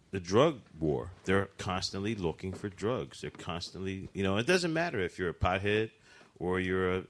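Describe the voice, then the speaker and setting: A man co-hosting a radio talk show, talking passionately and emphatically in casual chat. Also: low-pitched. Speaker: radio co-host.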